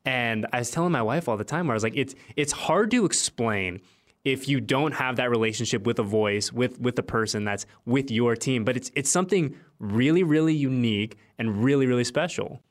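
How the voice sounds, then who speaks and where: A man's voice: medium pitch, brisk. Enthusiastic American man hosting sports talk. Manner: enthusiastic, chatty. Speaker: team show host and interviewer. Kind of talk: upbeat sports podcast talk.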